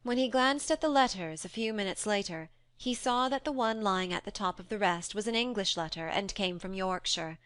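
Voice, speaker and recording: A woman reading a classic novel aloud, her voice smooth and resonant. She tells the story in a measured, expressive way. The sound is close and dry, on a studio mic. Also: mid-range voice, no echo. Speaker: narrator. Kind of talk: audiobook dramatic reading.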